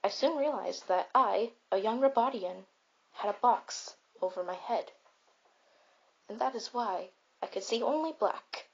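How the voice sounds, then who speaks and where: A woman playing a caring but blunt, self-important robot in a comfort roleplay, speaking in a deliberate, declamatory way. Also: medium pitch, slightly rough. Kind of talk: comfort roleplay monologue with storytelling. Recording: slightly dull, raw home recording.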